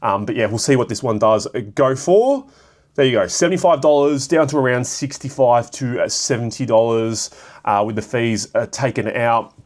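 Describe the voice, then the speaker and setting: A young Australian man with a raspy voice from a cold, casually talking through card sale prices with lots of fillers. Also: medium pitch, normal speed, a little mellow. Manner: rambling, filler-heavy, thinking aloud. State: hoarse from a cold. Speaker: card shop vlogger. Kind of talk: casual solo commentary.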